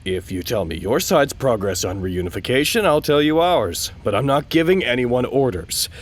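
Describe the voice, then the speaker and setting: A man's voice: mid-range voice, big pitch swings, moderate pace. A man playing a stubborn, unimpressed holdout in an audio drama, speaking tersely and firmly. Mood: firm, guarded. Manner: terse, firm.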